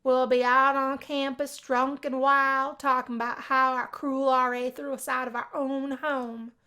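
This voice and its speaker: A woman voicing a lively, teasing college girl in a visual novel, with a brash manner. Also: high voice, measured pace, clean tone. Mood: goading.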